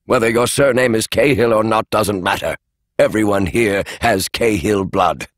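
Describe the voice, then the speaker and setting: A man's voice: fairly low pitch, moderate pace, dry recording, close to an everyday voice. A man reading the part of a formal elderly lawyer explaining the terms of a will. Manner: formal, precise. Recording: dry studio audiobook.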